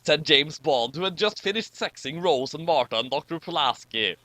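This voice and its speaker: A man reading comic fan fiction aloud with exclamatory, animated delivery and amused asides. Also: on the high side, moderate pace, dry recording.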